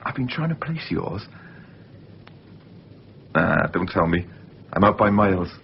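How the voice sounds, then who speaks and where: Insinuating, casually probing man, very animated. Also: low voice, measured pace, vocal fry, heavily acted. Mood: chatty, probing. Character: Jon, insinuating, film-obsessed man steering every conversation. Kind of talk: naturalistic radio drama acting. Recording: muffled, noisy off-air radio recording.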